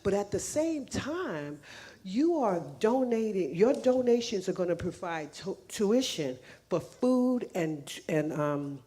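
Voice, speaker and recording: Lively woman making church announcements. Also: mid-range voice, slow. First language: not English. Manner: lively, laughing, chatty with restarts. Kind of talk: announcements to a congregation. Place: church sanctuary.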